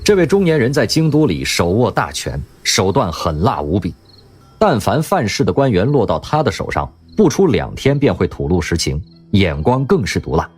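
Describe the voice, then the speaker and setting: A man narrating an audio drama in an expressive storytelling manner. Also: on the low side, moderate pace, husky, faint music behind.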